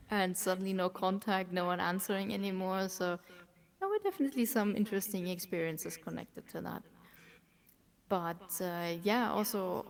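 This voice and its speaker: German woman talking esports in an interview, lowish gravelly voice, drops to almost a whisper sometimes. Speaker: esports operations manager. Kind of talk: casual interview chat.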